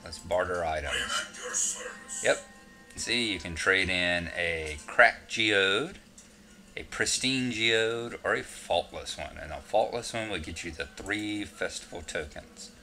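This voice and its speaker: A man with a Southern US drawl narrates a game walkthrough in a deep, low voice. His tone is bright and forward. He rambles in a relaxed way and pokes fun at himself between directions. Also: slow, faint music behind. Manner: relaxed, rambling, self-mocking asides. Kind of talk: casual gameplay commentary.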